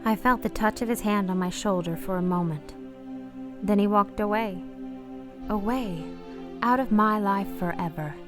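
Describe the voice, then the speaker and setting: A woman's voice: medium pitch, moderate pace, music in the background, lightly acted. A woman playing a weary, heartbroken married woman parting from her lover, hesitant and anguished, in a radio drama. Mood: grieving. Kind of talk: radio play acting with confessional narration.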